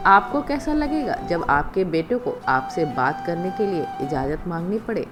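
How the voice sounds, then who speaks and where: A woman's voice: fairly low pitch, big pitch swings, normal speed. A woman voicing a young married daughter-in-law in an audio story, hurt and indignant, firmly standing up for herself, over music. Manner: firm, indignant, emotional protesting.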